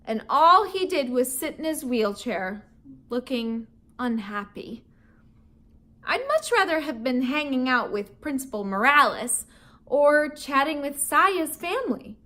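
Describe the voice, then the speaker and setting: A woman's voice: high-pitched, big pitch swings, unhurried, sharp tone. A woman teacher reading a children's book aloud and teaching vocabulary words, playful and laughing often, with chatty asides to young listeners.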